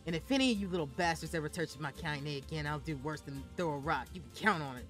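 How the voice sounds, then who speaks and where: A man voicing an ancient, vulgar and fiercely protective grandmother in a storybook reading, with a gruff drawl, over music. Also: a little high, normal speed, a bit bright, lightly acted. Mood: scornful.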